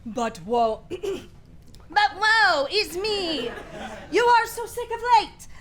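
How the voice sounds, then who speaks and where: A woman's voice: very high-pitched, animated, drawn-out words, fairly bright tone, full character voice. A woman playing an overwrought, devoted queen, tearfully declaiming verse in a radio play. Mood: anguished.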